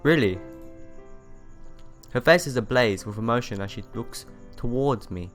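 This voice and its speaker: A man's voice: medium pitch, animated, a little slow. A man reading visual novel narration aloud as a let's player, telling it expressively and sometimes stumbling and rereading phrases. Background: music.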